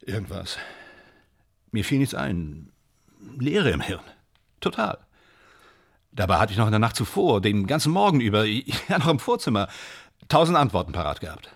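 An actor playing an anxious, evasive, self-doubting man in a German radio drama, speaking in halting, clipped phrases. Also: lowish voice, sing-song, creaky, exaggerated voice. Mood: agitated, self-doubting. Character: anxious, evasive film actor dreading a screen test.

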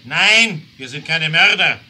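A man's voice: a little high, lively intonation, slow pace, pushed and bright, heavily acted. An actor playing a hard male leader of a masked mob in a German adventure audio drama, barking curt orders. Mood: grim, resolute.

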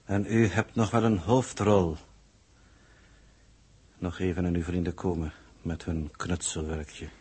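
An actor playing a cold, formal, analytical alien coordinator in a 1960s radio play, in measured, detached speech. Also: male voice, sits low, steady tone, darkish tone, husky. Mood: detached, musing. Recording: 1960s radio drama recording.

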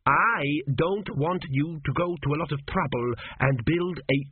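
A man's voice: unhurried, husky, no room sound. A man doing a fussy old ghost king. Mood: anxious pleading. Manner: high, fluttery, pleading. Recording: tinny narrow-band audio.

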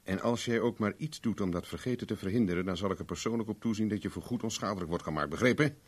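Male for a hard-nosed detective in vintage radio drama: lowish voice, commanding, coolly sardonic. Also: fast, husky. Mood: cold threat. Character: tough, relentless male private investigator, interrogating coolly. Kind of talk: 1970s Dutch radio drama acting.

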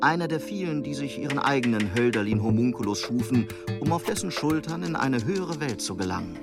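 A man's voice: mid-range voice, expressive, fairly quick. Male literary narrator over guitar music. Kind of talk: scripted literary reading.

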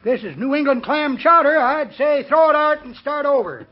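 Folksy old codger, extremely high voice, cheerfully cocksure busybody, vintage radio comedy, dull recording. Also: male voice, expressive, moderate pace. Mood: blithely meddling. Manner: folksy, blithely cocksure, calls people son and daughter. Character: cheerful, meddling old-timer neighbour, male. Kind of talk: 1950s radio comedy acting.

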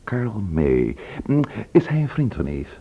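A low-voiced man questions people courteously and doggedly in an old radio drama. His delivery is very animated, with small hesitations, and the recording is dull and lo-fi. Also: measured pace, gravelly, exaggerated voice. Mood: probing, courteous. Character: man probing his brother's murder, courteous and persistent questioner.

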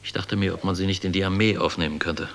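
Army major, radio play: deep voice, sardonic, patronizing, guarded. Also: male voice, steady tone, fast, creaky, a bit bright, a little hiss or hum. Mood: measured. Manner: sardonic, condescending, chuckling at the young. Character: wry, guarded army major, patronizing yet obedient volunteer leader. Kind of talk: German radio drama acting.

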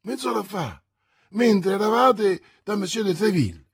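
A high, breathless young manservant voiced by a man, fretful and eager to please as he blurts out his news. His voice is husky and comes across dry and close. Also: big pitch swings, unhurried, darkish tone, no echo, heavily acted. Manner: breathless, exclamatory.

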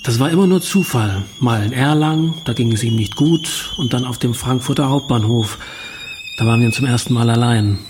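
A man's voice: medium pitch, moderate pace, noisy background, natural voice. Actor as reflective, self-doubting male writer. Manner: reflective, confessional. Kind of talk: radio drama narration and dialogue.

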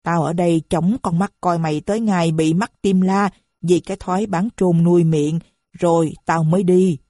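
A woman voicing a bitter, defiant middle-aged stepfather who answers back gruffly. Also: on the low side, fairly even intonation, moderate pace, warm tone, no echo, clean studio sound, close to an everyday voice. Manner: gruff retorts, turning sorrowful.

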